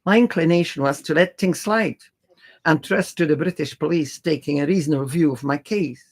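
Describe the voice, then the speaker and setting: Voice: medium pitch, a little fast. A reader narrating a classic adventure novel aloud in the first person.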